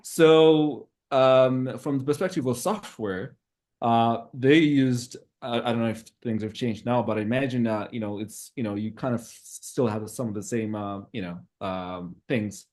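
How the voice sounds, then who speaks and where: A man from Zimbabwe in his thirties, a podcast guest who talks in a hesitant, reflective way with many pauses. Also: medium pitch, darkish tone. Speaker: interview guest. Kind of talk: casual interview talk.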